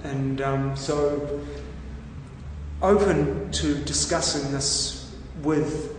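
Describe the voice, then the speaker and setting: Slow, halting New man in an echoey room. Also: fairly high pitch. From New Zealand. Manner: slow, halting, thinking aloud with many ums. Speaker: discussion participant. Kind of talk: casual brainstorming chat. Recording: echoey room with long reverb, from a distance, faint noise.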